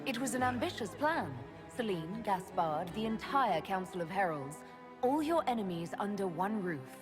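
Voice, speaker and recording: A woman's voice: mid-range voice, sing-song, moderate pace, a crowd in the background, close to an everyday voice. An actress playing a shrewd, coolly confident female leader in a video game, dry, composed and pointed. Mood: coolly taunting. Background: music.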